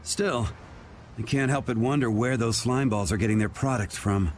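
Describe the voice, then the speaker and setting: A man's voice: mid-range voice, normal speed, a little hiss or hum, natural voice. A man voicing a serious trade aide aboard a ship in a video game dub. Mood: disgruntled.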